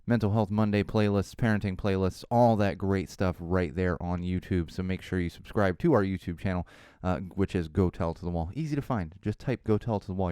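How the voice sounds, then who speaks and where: A man in his thirties from Southern California, hosting a casual solo podcast, a bit hoarse, rambling and self-deprecating, with lots of fillers and restarts. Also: low voice, warm tone, no echo, clean studio sound. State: slightly hoarse. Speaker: podcast host.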